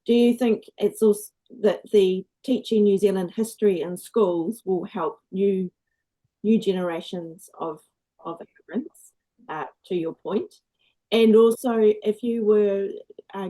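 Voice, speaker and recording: A woman's voice: mid-range voice, warm tone, low-quality recording. A woman on a parliamentary committee, politely asking a submitter questions and hesitating over her words. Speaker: committee member.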